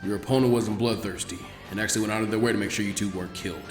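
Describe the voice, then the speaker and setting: Man voicing a low, weary ninja mentor. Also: steady tone, racing, faint music behind, lightly acted. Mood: pensive. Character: gruff, weary, laid-back adult male ninja mentor. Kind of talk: dramatic fan fiction character reading.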